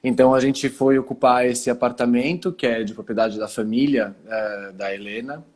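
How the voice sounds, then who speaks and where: A man from Italy, speaking as a guest on a video call through his phone, calmly explaining things and often pausing to collect his thoughts. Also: medium pitch. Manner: calm, explanatory, pauses often to gather thoughts. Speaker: guest, cultural center founder. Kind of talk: casual video call interview.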